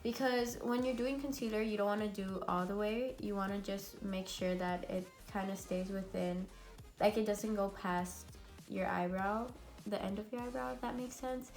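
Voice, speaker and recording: A young woman with a raspy, congested voice from a cold, chatting bubbly through a makeup tutorial at home. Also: medium pitch, fairly even intonation, normal speed, a little mellow, soft background music. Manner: chatty and bubbly, many fillers. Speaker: beauty vlogger. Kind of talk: casual makeup tutorial chat.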